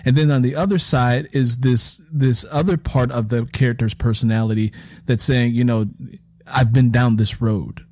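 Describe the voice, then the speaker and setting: A male interview host with a medium-pitched voice and a very dry recording with hardly any room sound. He talks conversationally and reflectively, working through his points as he goes. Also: fairly even intonation, moderate pace, a little mellow, no echo.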